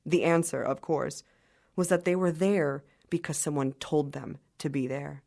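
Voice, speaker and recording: An actress playing a wry insider who narrates an audio drama in measured scripted narration. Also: female voice, low voice, fairly even intonation, vocal fry, darkish tone, full character voice. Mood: wry, knowing.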